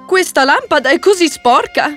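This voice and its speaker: A woman playing a worried, loving mother in a children's fairy tale, with wailing, drawn-out exclamations. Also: extremely high pitch, expressive, a bit bright, faint music behind. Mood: surprised.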